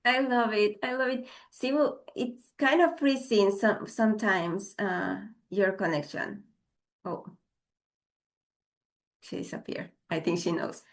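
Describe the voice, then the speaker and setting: A woman's voice: mid-range voice, slow pace. A woman hosting a casual interview over a video call, asking questions and giving encouraging responses. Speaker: podcast host.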